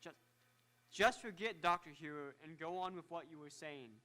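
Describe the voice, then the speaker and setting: A man acting as a proud scientist of vintage radio theatre, easily excited by his own inventions. His voice sits a little high and rings bright and forceful. He explains things in fussy, precise technical terms. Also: moderate pace. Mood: flustered, pleased. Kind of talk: old-time radio drama acting. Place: live on an auditorium stage.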